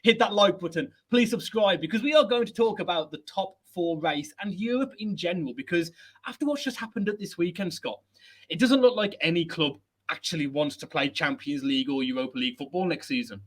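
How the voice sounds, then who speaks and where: A man hosting a football chat show, chatty, energetic and self-deprecating, speaking without a headset at a laptop setup. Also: high voice, brisk. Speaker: host.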